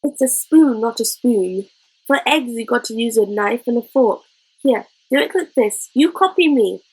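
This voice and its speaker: A kindly old man from a storybook, as a woman reads him. He is patient and peaceable, plain-spoken and homely, with a slightly husky tone. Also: fairly high pitch, animated, moderate pace, darkish tone, poor audio. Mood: patient, explaining.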